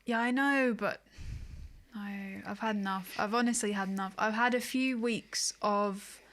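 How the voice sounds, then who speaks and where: Higher-pitched woman, chatty podcast co-host. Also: unhurried, fairly bright tone. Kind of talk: casual chat about video games.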